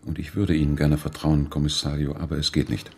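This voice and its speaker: A man's voice: level tone, fairly quick, darkish tone. An actor in a radio play playing a grieving, guarded father who speaks in a curt, formal, bitter way. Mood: cold, dismissive. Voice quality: very deep, husky.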